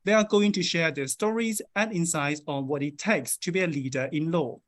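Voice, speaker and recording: A man emcees an awards ceremony with a polished, formal delivery. He reads out names and titles. His voice sits fairly high for a man. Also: normal speed. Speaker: event emcee.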